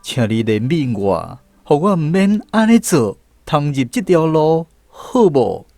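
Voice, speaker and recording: A man's voice: on the high side, very animated, measured pace, mellow, no room sound, faint music behind. An actor playing a weak, weary lost man searching for peace, earnest and pleading, in a Taiwanese radio drama.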